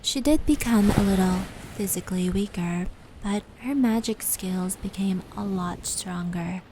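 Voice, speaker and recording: Gentle female fox spirit, acted by a woman, ASMR roleplay storyteller, soft and breathy, unhurried slow pace, faint rain and brushing noise. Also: medium pitch, natural voice. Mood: calm storytelling. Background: indoor rain patter and brushing sounds.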